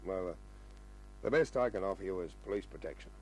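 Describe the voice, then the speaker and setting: An Australian man acting a gruff, world-weary police sergeant, terse and dry, in a 1950s radio crime drama. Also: sits low, lively intonation, quick pace. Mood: warning. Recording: noisy old radio recording with hum.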